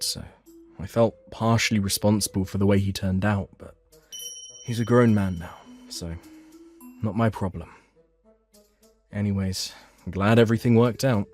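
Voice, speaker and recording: A man's voice: lowish voice, a little mellow. A man narrating a first-person dramatic story for a manga dub.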